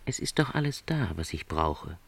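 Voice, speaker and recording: An actor playing a gentle, lonely middle-aged travelling salesman in a literary radio drama, speaking in a measured, reflective, hesitant way. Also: low-pitched, very animated, husky, full character voice. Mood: resigned, thoughtful.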